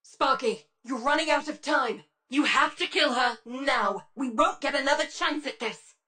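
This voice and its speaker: A tough rebel leader directing a game mission. Her voice sits a little high and she speaks with slow, weighty intensity, in terse commands, over a slightly noisy background. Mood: urgent. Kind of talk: dramatic game mission dialogue.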